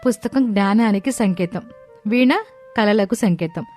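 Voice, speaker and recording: A woman acts a devout, gentle eldest daughter-in-law in a scripted moral story. She explains things earnestly and wisely at a middle pitch, with faint music underneath. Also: normal speed, natural voice. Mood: gently instructive.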